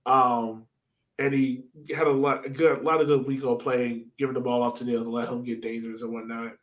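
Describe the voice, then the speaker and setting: A man's voice: mid-range voice, level tone, fairly quick, reverberant, rough recording. A man hosting a podcast, chatting casually about sports in an informal, slangy way. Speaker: podcast host.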